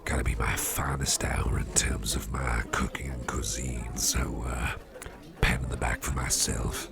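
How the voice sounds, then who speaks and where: A man playing a jovial, impish old devil boss in a soft, rambling, genial ASMR roleplay chat with a gravelly voice. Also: flat delivery, full character voice. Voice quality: gravelly, fry-laden deep voice. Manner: unhurried, rambling, genial, with repeated phrases. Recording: party crowd murmur behind voice. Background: party crowd chatter.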